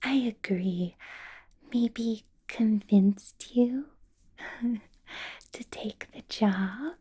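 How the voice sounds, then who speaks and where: A seductive female vampire boss, played by a woman for an ASMR roleplay, speaks in a very breathy, husky whisper. She is possessive and politely menacing, drawing her words out extremely slowly with coaxing pauses. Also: fairly high pitch, warm tone, heavily acted. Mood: coy, hungry. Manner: slow, deliberate, coaxing, with lingering pauses. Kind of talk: ASMR roleplay, personal attention.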